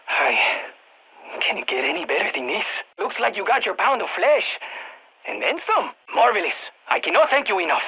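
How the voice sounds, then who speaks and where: An actor playing an anxious, excitable nerdy scientist in a video game, speaking over a radio, expressive and prone to stammering and repeating words. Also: medium pitch, husky, dull audio, exaggerated voice. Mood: gleeful. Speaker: field research scientist.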